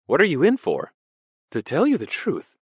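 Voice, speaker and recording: A man's voice: fairly high pitch, huge pitch swings, moderate pace, breathy edge, dry recording, muffled recording, pitched above the performer's own voice, full character voice. A man voicing a nervous, pedantic male poet held as a prisoner, speaking vaguely and with fussy indignation. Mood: bewildered, pedantic.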